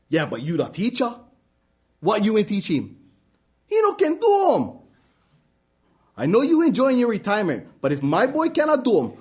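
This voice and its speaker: A man voicing a gruff, impatient father who barks and scolds in Hawaiian Pidgin. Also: sits high, pitched above the performer's own voice. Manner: barking, scolding, shouting. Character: gruff impatient father yelling driving orders.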